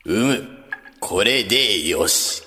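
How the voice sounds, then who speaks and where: Man voicing an echoing, slow old wizard master. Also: fairly low pitch, expressive, sharp tone, heavily acted. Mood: calm, kindly. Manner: unhurried, archaic elderly phrasing. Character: calm, kindly old wizard master, archaic elder speech. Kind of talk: fantasy audio drama acting. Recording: long hall reverb.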